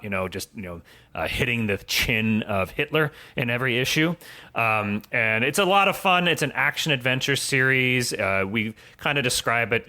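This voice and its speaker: A man's voice: medium pitch, bright, forceful tone, clean tone. A man speaking as a podcast guest, explaining things in a casual, conversational way. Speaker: podcast guest, audio drama writer.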